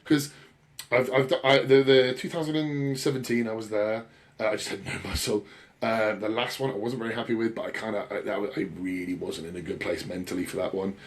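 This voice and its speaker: British bloke in his 30s, lowish voice, chatty podcast host, rambles, swears, takes the mick out of himself. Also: steady tone, brisk. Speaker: podcast co-host and bodybuilding coach. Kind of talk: casual podcast chat.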